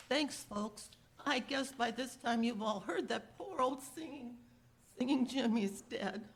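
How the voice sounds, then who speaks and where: A woman playing a stubborn, streetwise apple seller in a 1930s radio drama, with folksy, emphatic speech and a gravelly, husky voice. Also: a little high. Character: feisty streetwise apple-selling beggar woman, stubborn, rallying.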